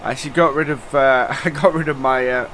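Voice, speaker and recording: A man's voice: mid-range voice, steady tone, brisk, a bit bright, slight background noise. Chatty man, nasal with a cold. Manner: chatty, rambling, many uh fillers. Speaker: gaming channel host. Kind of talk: casual let's-play commentary.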